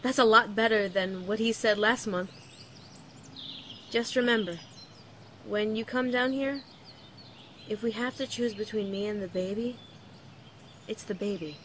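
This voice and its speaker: A young woman playing a weary student and mother-to-be, gently and earnestly reading out a letter in an audio drama. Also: medium pitch, unhurried, long pauses, a little hiss or hum, natural voice. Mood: resigned, tender. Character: weary pregnant young student writing to her father. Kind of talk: letter read aloud in horror audio drama.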